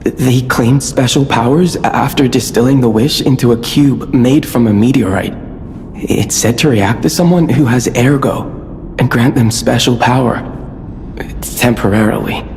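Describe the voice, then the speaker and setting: A man playing an urbane, hesitant merchant who was once a farmer, with a stammering, halting delivery in a video game. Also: mid-range voice, creaky, a little mellow, close to an everyday voice. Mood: enigmatic, coaxing. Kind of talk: scripted game cutscene dialogue. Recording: long reverb, background noise.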